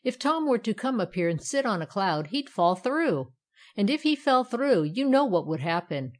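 A woman's voice: mid-range voice, very animated, moderate pace, close to an everyday voice. Living fireplace poker, male, blithe and smug storybook character, chatty show-off , performed by a woman. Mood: jovial, chatty. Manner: jovial, boastful, chatty, sing-song in verse.

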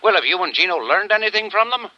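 A man's voice: high voice, expressive, fast, no echo. An actor playing a concerned insurance company man questioning urgently through a telephone filter in a 1950s radio drama. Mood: urgent, anxious. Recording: tinny telephone-like old radio sound.

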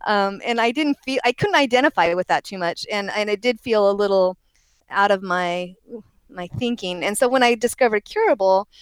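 Young-sounding woman, smooth dry voice. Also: mid-range voice, moderate pace, no echo, low-quality recording. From Oregon, USA. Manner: rambling, self-correcting, laughs often. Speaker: interview guest. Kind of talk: casual interview conversation.

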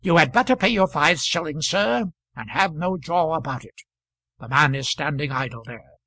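A man reading the part of a pompous, blustering male commercial traveller who presides over the table, loud and hectoring. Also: a little high, animated, normal speed, dry recording, exaggerated voice. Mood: blunt.

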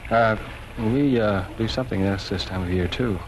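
An actor playing a gentle, lovestruck young small-town man in 1940s radio style. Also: on the low side, moderate pace, close to an everyday voice. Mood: wistful, teasing. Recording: noisy 1940s radio broadcast.

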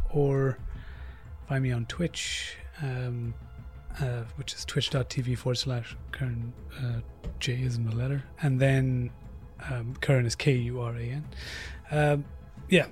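A man guest on a game podcast, talking casually with lots of ums. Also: medium pitch. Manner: flat, filler-heavy delivery. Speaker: player and guest. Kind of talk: casual chat.

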